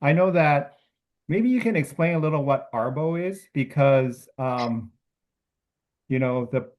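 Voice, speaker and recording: A man moderating a webinar on a video call, reading out students' questions in a halting way, with many fillers and restarts. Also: on the high side, highs cut off. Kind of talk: hosting a webinar Q&A.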